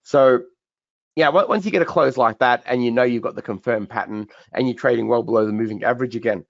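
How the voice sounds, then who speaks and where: Man, croaky voice, chatty webinar host, fast talker, dull dry audio. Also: medium pitch, no room sound. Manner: chatty, repeats words and restarts, many fillers. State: croaky, recovering voice. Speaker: trading educator hosting a webinar Q&A. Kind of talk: live webinar chart analysis.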